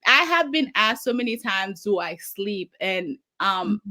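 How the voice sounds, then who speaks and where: Woman, Haitian accent, middle-aged, earnest, passionate, repeats for emphasis, mid pitch. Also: expressive, a little slow, pushed and bright. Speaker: community advocate, guest. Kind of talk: casual conversation.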